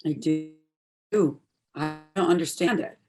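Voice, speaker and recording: An actress playing a troubled, searching young adult daughter in an earnest stage drama. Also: female voice, low-pitched, steady tone, unhurried, a little mellow. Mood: troubled, plaintive.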